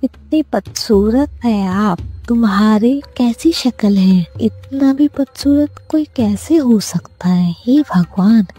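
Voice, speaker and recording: Woman playing a high-voiced haughty young princess. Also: expressive, slow, hardly any pauses, warm tone, breathy edge, soft background music, exaggerated voice. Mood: disdainful. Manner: self-important, dramatic. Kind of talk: cartoon storybook character voice.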